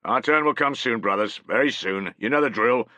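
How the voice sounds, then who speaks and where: A man's voice: medium pitch, moderate pace, pushed and bright, no echo, pitched above the performer's own voice, lightly acted. A man voicing a battle-hungry Roman centurion, bellowing rousing orders to his soldiers in an audiobook.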